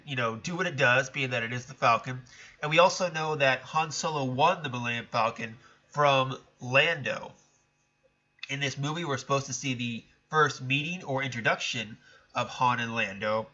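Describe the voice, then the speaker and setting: A man's voice: mid-range voice, pushed and bright, dull audio. A man hosting a casual solo commentary show, talking in a chatty, rambling way with a stuffed-up, nasal voice. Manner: chatty, rambling, self-correcting. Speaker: podcast host.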